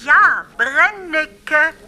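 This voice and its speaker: A woman's voice: high-pitched, animated, drawn-out words, heavily acted. An actress playing a brusque, suspicious woman answering the telephone in a radio drama, curt in manner. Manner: curt, sharp. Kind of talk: German radio drama acting.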